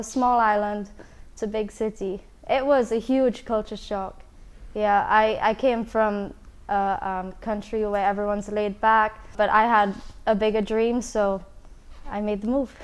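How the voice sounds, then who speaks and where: Female producer from Trinidad and Tobago; flat, even intonation, clean smooth tone. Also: a little high. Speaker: film producer. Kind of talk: casual interview talk.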